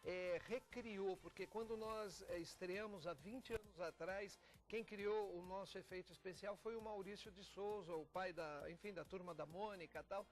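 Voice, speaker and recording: A Brazilian man from a theatre company giving an explanatory TV interview, often pausing and repeating words as he goes. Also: high-pitched, soft background music. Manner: explanatory, with frequent pauses and repeats. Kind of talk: TV interview talk.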